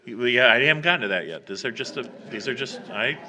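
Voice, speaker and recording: A man chairing a public meeting in an informal, halting way, reading out names and written comments. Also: medium pitch, animated, background noise. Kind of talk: running a public meeting, reading emails aloud. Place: council chamber, public meeting.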